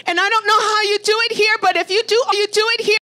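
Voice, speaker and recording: A woman's voice: very high-pitched, little pitch movement, quick pace, sharp tone. A woman preacher leading fervent charismatic prayer, urgent and pleading, exhorting with repeated phrases.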